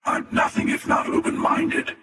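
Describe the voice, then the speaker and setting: An actor playing an arrogant, world-weary cosmic hunter in a video game, sardonic and deliberate, with a gravelly voice under a cavernous effect. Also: male voice, pushed and bright, a faint crowd. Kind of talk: video game character acting. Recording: heavy echo, long reverb, distant.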